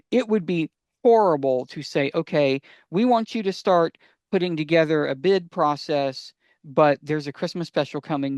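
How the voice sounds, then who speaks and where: A man's voice: on the high side, no room sound. An American man co-hosting a podcast, explaining things emphatically and repeating phrases for stress. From United States. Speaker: podcast co-host.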